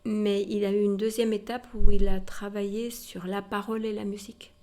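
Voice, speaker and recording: A woman's voice: medium pitch, flat delivery, moderate pace, mellow. A woman working as a museum guide, telling history informally and explaining directly to her listeners. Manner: explanatory, addresses listeners directly with rhetorical tags. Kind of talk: informal spoken historical storytelling to a visitor.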